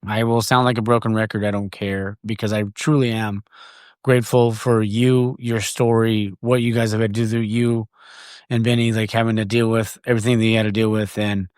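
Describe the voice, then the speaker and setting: A man's voice: on the low side, little pitch movement, moderate pace, darkish tone, clear, resonant tone, no echo, studio quality. Laid-back male podcast host. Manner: laughs often, loose and profane. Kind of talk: casual conversation.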